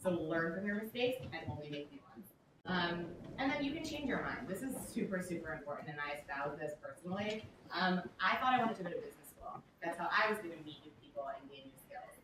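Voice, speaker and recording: A woman's voice: lowish voice, lively intonation, fast talker, noisy background. Young woman speaking in an echoey hall. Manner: upbeat, frequent "um" and "like". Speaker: workshop speaker. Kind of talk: motivational talk. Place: large echoey event room. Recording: echoey room, speaker far from mic.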